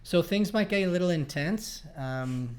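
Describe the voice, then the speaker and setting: A man in his thirties from Canada, hosting a casual relationship chat in a relaxed, rambling way with joking asides. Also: high-pitched, moderate pace. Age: 30s. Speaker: podcast host.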